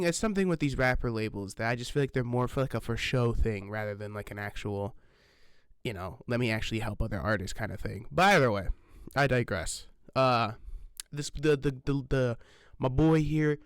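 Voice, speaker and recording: A man's voice: mid-range voice, smooth, no room sound, studio quality. A man hosting a reaction channel, talking in a loose, rambling way with lots of fillers and restarts, swearing casually and laughing at himself, sitting at his desk with an old microphone. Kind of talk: casual rambling vlog chat. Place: home recording setup, sitting at desk. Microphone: old desk microphone, sitting close.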